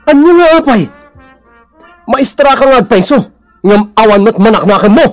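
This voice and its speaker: A scornful, quarrelsome husband in a comedy radio drama. The voice is high and very lively, with a northern Philippine accent, over faint music. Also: male voice, moderate pace. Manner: scolding, scornful. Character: shamed, accusing husband, quarrelsome and jealous.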